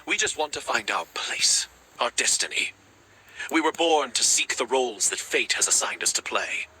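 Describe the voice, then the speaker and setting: A man's voice: mid-range voice, expressive, moderate pace, pushed and bright, breathy edge, heavily acted, low-quality recording. A man voicing a devoted, reckless raven warrior in a video game, speaking with grand, earnest drama. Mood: fervent, earnest. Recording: background music under the voice.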